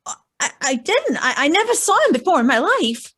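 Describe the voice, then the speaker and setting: A woman's voice: sits high, big pitch swings, moderate pace, a bit bright. A woman playing a shifty, brassy, wary crook's wife in an old-time radio drama. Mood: wary, evasive. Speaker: actor.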